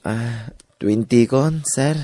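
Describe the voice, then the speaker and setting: An Ilocano-accented woman voicing a meek, poor young man in a radio-style drama, speaking haltingly and timidly. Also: very deep voice, dark tone, no room sound, clean studio sound, exaggerated voice. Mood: shy.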